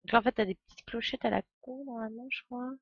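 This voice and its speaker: A woman's voice: a little high, fairly even intonation, fast, breathy edge, no echo. A woman giving casual, joking, irreverent live commentary as a game streamer. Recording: dull, lo-fi microphone sound.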